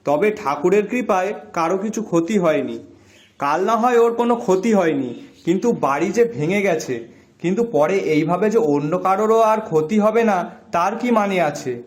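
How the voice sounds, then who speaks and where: Man acting high-voiced, level-headed villager. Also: expressive, a little fast. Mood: reasoning, insistent. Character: level-headed, insistent male villager reasoning with elders. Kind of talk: Bengali animated folk-tale dialogue.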